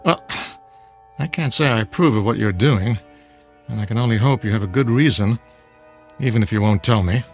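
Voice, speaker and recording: A man's voice: on the low side, normal speed, natural voice. Actor as a disapproving male corporate executive. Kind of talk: radio drama acting. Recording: music behind.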